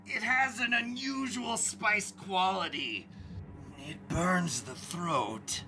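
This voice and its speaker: An actor playing a treacherous merchant guest who has been poisoned, in a radio play with music under him. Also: male voice, sits high, slow. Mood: suspicious.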